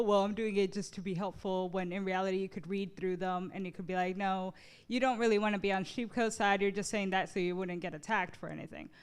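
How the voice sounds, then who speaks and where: A woman with a clear, smooth voice of medium pitch speaks from the audience. She gives her feedback in a casual, conversational way. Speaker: audience member giving feedback.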